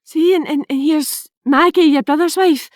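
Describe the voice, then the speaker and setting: A distressed Scottish mother, played by an actress, pleads urgently in a historical radio play. Her voice is high, and the sound is close and dry. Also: moderate pace, a little mellow, no room sound, natural voice. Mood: urgent soothing. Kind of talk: historical audio drama in Scots.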